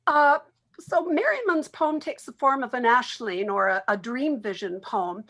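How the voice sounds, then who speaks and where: A woman's voice: fairly high pitch, animated, a little slow, a bit bright. A woman speaking as a literary expert in an interview, explaining her subject at length.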